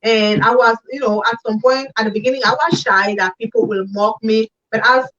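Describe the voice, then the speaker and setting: A woman's voice: fairly high pitch, fairly even intonation, fairly quick, fairly bright tone. French-accented woman, distant dull sound. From French-speaking. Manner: earnest, repeats herself. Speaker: business coach, guest. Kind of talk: interview over video call.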